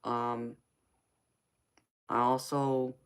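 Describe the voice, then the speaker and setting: A woman's voice: a bass voice, level tone, extremely slow, clear, resonant tone. A woman in her forties, a tarot reader chatting casually with viewers on a live stream and answering their comments by name. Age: 40s.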